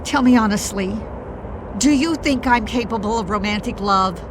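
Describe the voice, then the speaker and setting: A woman's voice: medium pitch, moderate pace, close to an everyday voice. A woman playing an anxious, dramatic middle-aged mother in an audio drama, flustered and a little prim. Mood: flustered, defensive. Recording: faint noise behind the voice.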